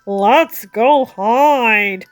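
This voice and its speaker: Woman playing a loud, slow-drawling storybook ghoul. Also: fairly high pitch, dry recording, soft background music. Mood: alarmed. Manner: loud, shouting. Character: startled male ghoul, gruffly exclaiming gravedigger. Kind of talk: children's storybook character voice.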